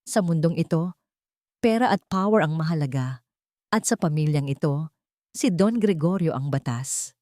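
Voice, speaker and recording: A woman's voice: sits low, lively intonation, moderate pace, pauses often, mellow, dry recording, clean studio sound. Woman playing a cold, scornful schemer. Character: cold, cynical, money-minded scheming younger woman.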